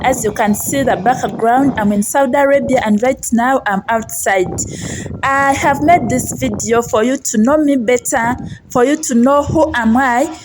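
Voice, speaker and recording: A woman from Uganda with a Ugandan accent talks to viewers in a chatty personal vlog, often repeating phrases for emphasis. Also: a little high. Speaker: vlogger and housemaid. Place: outdoors on a residential street. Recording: noisy outdoor ambient sound. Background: outdoor ambience noise.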